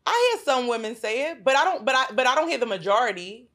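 A woman in her forties, loud and mouthy with bass in her voice, arguing her side emphatically in a heated panel debate. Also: very animated, fast talker. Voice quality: loud, mouthy, deep-toned. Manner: emphatic, repeats her points.

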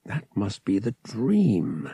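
A man's voice: on the low side, huge pitch swings, slow pace, dark tone, slightly rough, no echo, full character voice. A man voicing a young pilot in an audiobook, curious and wry, with a casual, dry manner. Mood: wry, muttering.

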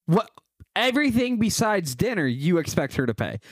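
A man's voice: sits high, big pitch swings, normal speed, no room sound. A man co-hosting a podcast, joking and swearing in casual chat. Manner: joking, profane, teasing. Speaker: podcast co-host.

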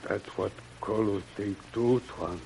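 Humble loyal jungle servant, broken english, low gravelly voice, old radio serial. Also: male voice, a little slow, darkish tone. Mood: weak, hurting. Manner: broken pidgin English, speaks of himself by name. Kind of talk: old-time radio adventure serial acting. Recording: noisy old radio drama recording.

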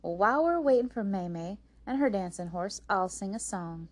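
A woman reader puts on a prim storybook sister who performs on stage and squabbles readily. She speaks briskly and emphatically, with a huffy edge. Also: mid-range voice, lively intonation, natural voice. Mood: showy, announcing.

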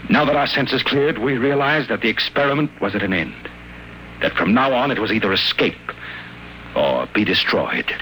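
A man's voice: medium pitch, expressive, moderate pace, slight background noise, low-quality recording. An actor playing a captive man who narrates his ordeal in earnest, tense storytelling, in a 1940s-style radio drama. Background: loud music.

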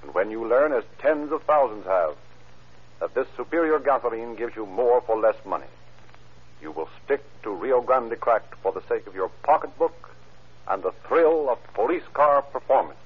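A man announcing in formal, measured 1930s radio sponsor style, with a husky voice. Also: mid-range voice, lively intonation. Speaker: announcer and narrator. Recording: narrow, tinny 1930s radio recording.

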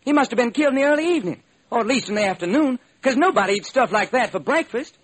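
Husky, high-voiced American police lieutenant. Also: male voice, lively intonation, quick pace, exaggerated voice. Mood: eager, puzzled. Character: eager, dogged male homicide police lieutenant. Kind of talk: old-time radio detective drama acting.

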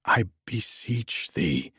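A man's voice: lowish voice, lively intonation, slow, bright, forceful tone, husky, no room sound, clean studio sound, heavily acted. A man voicing an anguished young lover in a storybook tale, pleading fearfully in archaic, tremulous speech.

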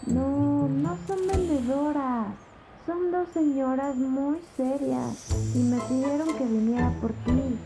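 A small girl of about seven, high-pitched and slow, earnest and a little frustrated. It is an audio drama with music underneath. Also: rough recording. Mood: earnest, insistent. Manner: childlike, slow, insistent. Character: seven-year-old girl, earnest, slightly frustrated daughter.

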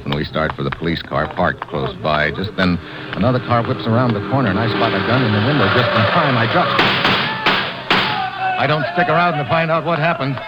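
A man's voice: low-pitched, highs cut off, lightly acted. Man acting a hard-boiled American agent, noisy vintage radio. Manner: clipped, deadpan, wisecracking. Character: tough, wisecracking American secret agent, hard-boiled and sardonic. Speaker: lead actor and narrator. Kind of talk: 1950s radio drama acting.

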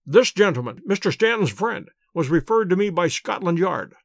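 A man reading an audiobook, voicing a calm male detective who explains his reasoning in a measured, precise, lecturing way. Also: sits high, creaky, no room sound, studio quality, full character voice. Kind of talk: audiobook character voice.

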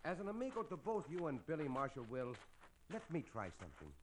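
An actor playing a noble, suave gunfighter hero in an old radio western. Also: male voice, fairly high pitch, sing-song, quick pace. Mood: earnest. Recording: noisy vintage radio recording.

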